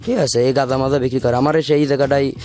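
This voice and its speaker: Man, Khulna-area Bangladeshi accent, medium pitch, informal storytelling, repetitive, quotes conversations, faint music underneath. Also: steady tone, quick pace, darkish tone. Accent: southwestern Bangladeshi (Khulna region). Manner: rambling, repetitive, acts out reported dialogue. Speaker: guest telling their life story. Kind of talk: informal personal confession, storytelling. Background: background music.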